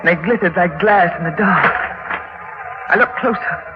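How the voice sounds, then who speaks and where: An actress playing a frightened, anxious young wife in halting, pleading 1940s radio drama style. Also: female voice, fairly low pitch, natural voice. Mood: hushed dread. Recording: old muffled radio recording, music behind.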